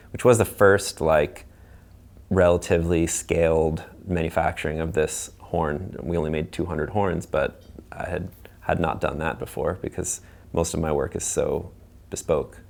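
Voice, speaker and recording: Very deep-voiced man with vocal fry. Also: darkish tone. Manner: explanatory, informal. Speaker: audio designer. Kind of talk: casual technical conversation.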